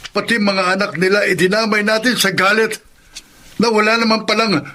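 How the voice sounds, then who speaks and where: An actor with a Filipino accent playing a conscience-stricken younger brother, earnest and emphatic, in a radio drama. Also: male voice, high voice, steady tone, normal speed, pushed and bright, a little hiss or hum. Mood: regretful.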